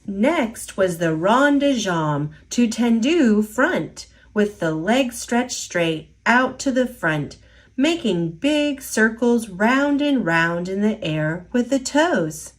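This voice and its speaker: A woman reading a children's picture book aloud in an expressive storytelling voice. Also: on the high side, slow.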